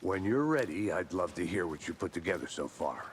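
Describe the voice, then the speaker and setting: An actor playing a dry, skeptical veteran FBI agent in a video game, gravelly and deadpan, sparing with words. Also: male voice, low voice, a little fast, a little hiss or hum. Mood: businesslike. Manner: deadpan, laconic. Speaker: detective partner character.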